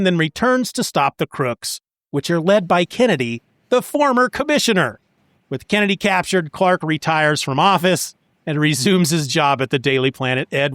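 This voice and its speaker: A man's voice: a little high, fairly bright tone. A man hosting a podcast, talking about a comic book story in a casual, conversational way. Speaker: podcast host.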